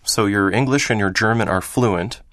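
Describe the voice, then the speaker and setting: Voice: medium pitch, moderate pace, a bit bright, lightly acted. An actor playing a helpful, courteous office clerk who methodically takes down details in a scripted exam listening dialogue. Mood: businesslike. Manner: courteous, methodical, repeats answers back.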